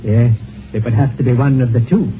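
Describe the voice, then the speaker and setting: An actor in an old-time radio drama playing an earnest, sentimental gentleman doctor, speaking politely and gravely. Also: mid-range voice, steady tone, a little fast, natural voice. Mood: earnest, indignant. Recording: tinny, noisy old radio recording.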